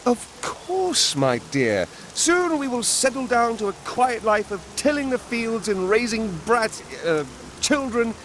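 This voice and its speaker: Sly flirty rogue thief, lively bouncy voice, noisy background. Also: male voice, extremely high pitch, a little slow, few pauses, exaggerated voice. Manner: smooth-talking, sly, flirtatious. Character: roguish charming male thief and scoundrel.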